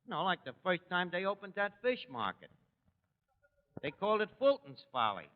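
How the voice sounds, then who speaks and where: An actor playing a brash, wisecracking New York tavern manager in 1940s radio comedy, with colloquial, mangled grammar. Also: male voice, sits high, huge pitch swings, moderate pace, heavy background noise. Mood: explaining. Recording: dull restored 1940s radio, hall reverb.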